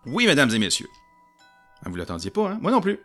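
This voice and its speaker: A man with a Québécois accent narrating a solo tabletop roleplaying game in an animated, jokey way and talking to his audience. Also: on the high side, a little fast, faint music behind. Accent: Québécois French.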